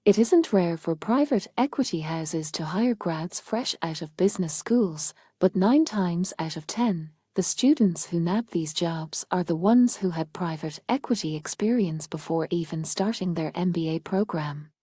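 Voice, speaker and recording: A woman's voice: fairly low pitch, unhurried, hardly any pauses, dark tone, slightly rough, dry recording, clean studio sound. A woman reading a scripted passage aloud. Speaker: practice passage reader.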